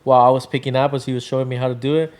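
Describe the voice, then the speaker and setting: A man from Venezuela in his thirties talks at an easy, unhurried pace. His voice sits at an ordinary middle pitch. He rambles with plenty of fillers. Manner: relaxed, rambling, many fillers. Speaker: guest, drink brand founder. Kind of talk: casual interview chat.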